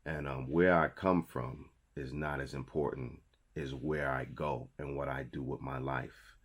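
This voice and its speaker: A man's voice: rumbling low, steady tone, a little slow. A man in his thirties with a New York accent, giving a motivational talk to camera in a conversational, emphatic way and repeating his key phrases. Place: small indoor studio with a logo wall.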